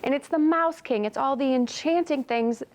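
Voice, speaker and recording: An excitable, gushing woman chatting casually as a television host. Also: sits high, normal speed, no room sound. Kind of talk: casual on-location chat. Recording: loud music behind chat. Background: music.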